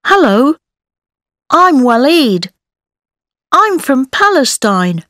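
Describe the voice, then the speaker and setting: A woman voicing children and reading prompts in a textbook recording for young learners, in a clear, careful way. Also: sits high, sing-song, extremely slow, pushed and bright, no room sound, clean studio sound, full character voice. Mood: cheerful. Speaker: textbook audio voice actor. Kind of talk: slow scripted textbook dialogue for children.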